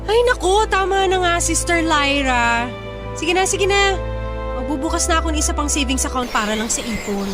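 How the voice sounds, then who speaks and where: A woman's voice: sits high, lively intonation, normal speed. An actress playing a carefree, impulsive young single mother who loves shopping, breezy and playfully dismissive in Filipino radio drama style. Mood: giving in. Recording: loud music bed behind the voice.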